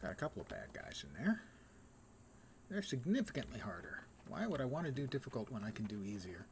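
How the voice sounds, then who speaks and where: A man's voice: medium pitch, big pitch swings, brisk, a little hiss or hum. A man doing casual live commentary on a video game, musing in a dry, wry, pedantic way. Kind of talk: casual solo gameplay commentary.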